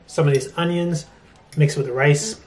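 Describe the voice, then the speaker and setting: A man's voice: a little high, steady tone, moderate pace, darkish tone. A man in his twenties chatting casually as he reviews food for a vlog, recorded on a handheld camera. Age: 20s. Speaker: food vlogger. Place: restaurant, home kitchen, car, bedroom. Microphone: camera at arm's length. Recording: noisy handheld camera audio.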